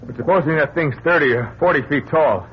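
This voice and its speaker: A somewhat high male voice in a dull radio recording with faint hum, an actor playing a folksy sidekick in a suspense drama. He talks colloquially, worried but game, with a wry edge. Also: fairly quick, close to an everyday voice. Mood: discouraged.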